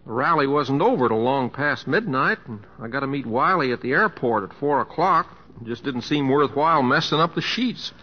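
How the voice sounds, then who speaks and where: An actor playing a folksy, wry, kindly American humorist with a homespun drawl, in an old-time radio drama. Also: male voice, medium pitch, lively intonation, moderate pace, few pauses, slightly rough. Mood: weary, fond. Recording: muffled old radio recording.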